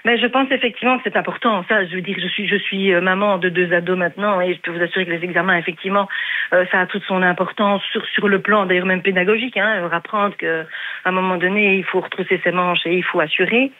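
A woman's voice: fairly low pitch, fairly even intonation, quick pace, no room sound, narrow, tinny audio. A woman calling in to a radio show, talking thoughtfully with hesitations and restarts. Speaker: caller. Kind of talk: phone-in call on radio.

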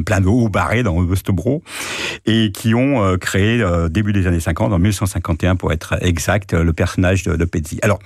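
A man's voice: low voice, dry recording, studio quality. A man from France, a radio guest telling a story with many halts and repeated words. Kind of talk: radio interview.

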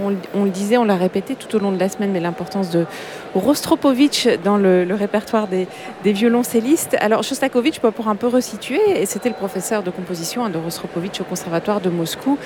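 A woman's voice: mid-range voice, noisy background. A woman presenting a radio broadcast, explaining background in a chatty way with fillers. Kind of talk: broadcast commentary.

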